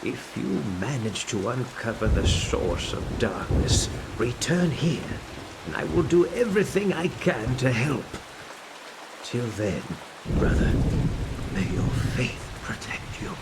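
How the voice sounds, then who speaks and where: An actor playing a grave, secretive monk in a video game cutscene, speaking in a theatrical, measured, archaic manner. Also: male voice, medium pitch, big pitch swings, gravelly, very noisy, full character voice. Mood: solemn urging. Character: secretive monk, grave, ominous, conspiratorial male ally.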